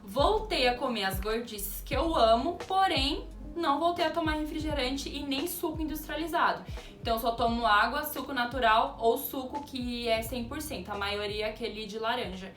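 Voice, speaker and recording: A woman's voice: sits high, normal speed, a bit bright. Chatty young woman in a roomy space. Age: 20s. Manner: chatty, answers viewer questions aloud. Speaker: youtuber. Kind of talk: casual Q&A vlog. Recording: roomy echo, distant mic, music behind. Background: background music.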